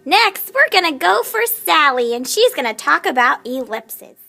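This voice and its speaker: A woman's voice: high voice, big pitch swings, a little slow, vocal fry, sharp tone, faint music behind. A woman presenting a scripted maths lesson in a recited, step-by-step way.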